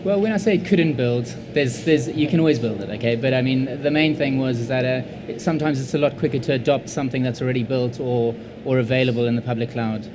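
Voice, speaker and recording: A man answering questions on a conference panel, speaking in a measured, hesitant way with many fillers and repeated words. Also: medium pitch, crowd noise. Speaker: panelist, insurance IT leader.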